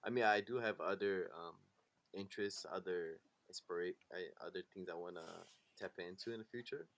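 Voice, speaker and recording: A man's voice: low voice, normal speed, fairly bright tone, a little hiss or hum. A Brazilian-born man in his late twenties, a podcast guest talking loosely with lots of fillers and restarts. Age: late 20s. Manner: laid-back, loose talk with many fillers and restarts. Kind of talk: casual interview chat.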